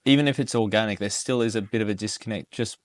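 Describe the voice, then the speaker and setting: Host: low-pitched man, casual, rambling questions, dry close-miked sound. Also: fast talker, no echo. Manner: chatty, short interjections and rambling questions. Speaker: podcast host. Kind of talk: casual interview.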